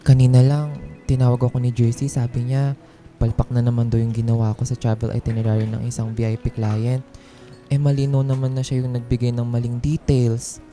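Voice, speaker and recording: A man's voice: mid-range voice, level tone, a little fast, warm tone, soft background music, close to an everyday voice. A man playing a downtrodden, self-doubting office worker, plaintive and hurt, in a radio drama. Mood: hurt, despairing. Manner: plaintive, hurt, deferential to bosses.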